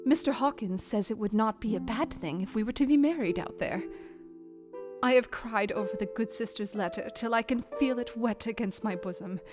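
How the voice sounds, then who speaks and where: A woman's voice: a little high, normal speed, over music, lightly acted. An actress playing a devoted young Victorian fiancée, reading her journal earnestly and emotionally in a radio play. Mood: tender.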